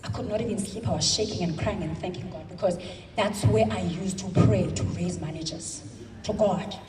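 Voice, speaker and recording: A South African woman in her thirties giving an emphatic motivational speech at a convention, stretching her words into slow dramatic pauses. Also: lowish voice, a little mellow. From South Africa, Eastern Cape. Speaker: convention speaker. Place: large hall. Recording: echoey hall, speaker far from mic.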